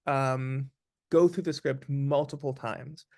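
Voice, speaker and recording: A man speaking as an actor being interviewed, explaining things casually in a thoughtful, deliberate way. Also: medium pitch, steady tone, darkish tone.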